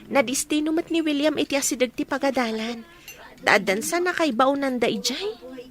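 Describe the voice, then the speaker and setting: Actress as earnest young woman, high voice, Ilocano accent, deferential, radio drama. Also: animated, moderate pace, a crowd in the background. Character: young woman confiding respectfully in an older woman.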